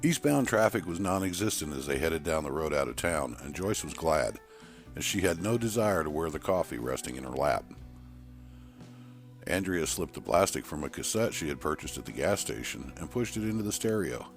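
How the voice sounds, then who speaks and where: A man's voice: a bass voice, very animated, moderate pace, soft background music. A man reading a story aloud as dramatic, scripted narration. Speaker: storyteller narrating horror fiction.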